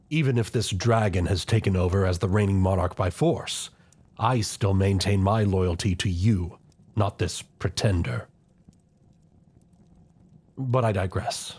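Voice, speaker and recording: Man voicing an older royal advisor, low husky voice, formal, measured, deferential yet scheming, fantasy audio drama. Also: darkish tone. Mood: earnest, loyal. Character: loyal, scheming older male royal chancellor, formal and grave. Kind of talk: scripted fantasy audio roleplay acting.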